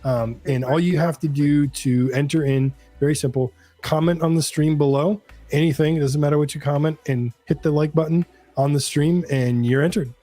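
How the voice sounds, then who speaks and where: Mellow-voiced man co-hosting a stream. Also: on the high side, steady tone, moderate pace, few pauses, no room sound, faint music behind. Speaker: live stream co-host. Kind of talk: casual explaining of giveaways.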